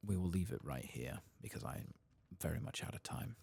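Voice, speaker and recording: Very deep, soft-spoken man with gravelly creak. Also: very animated, brisk. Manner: hesitant, muttering to himself as he thinks aloud. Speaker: host of a video game playthrough channel. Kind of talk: soft-spoken ASMR game commentary with whispering.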